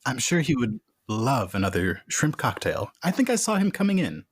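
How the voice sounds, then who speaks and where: A man's voice: medium pitch, very animated, moderate pace, slightly rough, dry recording, studio quality. A man playing a tabletop game, improvising casually as his character and describing what the character does.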